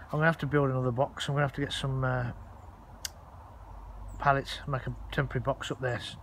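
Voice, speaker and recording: A man's voice: medium pitch, little pitch movement, fast talker, fairly bright tone. A man chatting informally and rambling as he narrates a casual walk-around vlog on a handheld phone outdoors. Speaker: allotment vlogger. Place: outdoors on an allotment, walking. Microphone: handheld phone held while filming. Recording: noisy outdoor phone recording.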